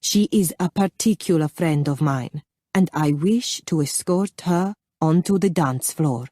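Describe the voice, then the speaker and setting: A woman playing a dashing, self-assured foreign baron with a foreign accent and a careful, deliberate, teasing delivery. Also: sits low, warm tone, dry recording, clean studio sound. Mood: smooth, firm.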